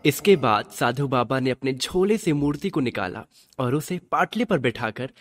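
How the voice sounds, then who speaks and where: A man's voice: mid-range voice, expressive, moderate pace, a bit bright. A man narrating a scripted radio drama as its storyteller. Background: music.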